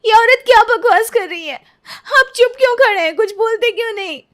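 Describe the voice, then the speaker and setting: A woman's voice: high voice, moderate pace, vocal fry, darkish tone. A woman voicing a heartbroken young wife, crying and pleading, with bitter outbursts. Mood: sobbing.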